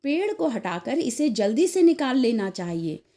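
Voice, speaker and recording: Woman voicing an eager, animated male gardener. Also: fairly high pitch, moderate pace. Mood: urgent. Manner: insistent, concerned. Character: practical, eager male gardener urging action.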